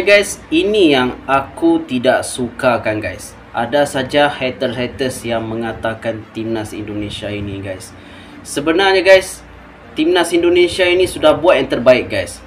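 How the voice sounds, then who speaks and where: Emphatic Malaysian man, bursts and asides. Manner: emphatic, switches between loud bursts and low trailing asides. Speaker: football reaction YouTuber. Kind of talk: casual chat to viewers.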